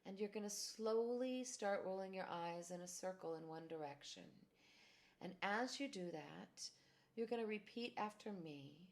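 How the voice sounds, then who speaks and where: A woman guiding a tapping exercise, calmly giving steps and phrases to repeat. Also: medium pitch, unhurried. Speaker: tapping practitioner.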